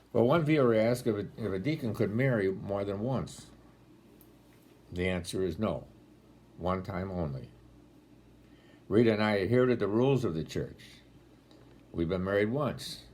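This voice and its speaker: A man in his eighties with a deep voice, speaking as a deacon in a homily-style talk, telling stories with gentle dry humor, filmed on a laptop webcam at home. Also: slightly rough. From Rochester, New York area. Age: 80s. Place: home room, indoors. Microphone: laptop webcam at close range.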